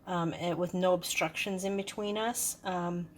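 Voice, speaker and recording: A woman's voice: fairly low pitch, fairly even intonation, measured pace. A woman giving a casual, hands-on product review, explaining things in a hesitant, unscripted way. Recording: clearly noisy background.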